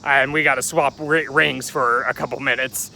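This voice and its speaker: Gravelly young man vlogging outdoors. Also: mid-range voice, steady tone, normal speed, pushed and bright, a little hiss or hum. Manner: rambling, chatty, joking aside at passing trains. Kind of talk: casual storytelling vlog. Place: outdoors near a railway.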